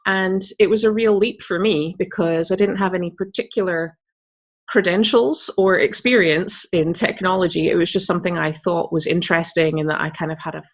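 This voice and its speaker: Scottish woman with a softened accent. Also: on the low side, fairly even intonation, a little fast. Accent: Scottish, softened by years abroad. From Scotland. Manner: candid and upbeat, laughs easily, with many fillers like "you know". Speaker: tech coach and guest. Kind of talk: conversational interview answers.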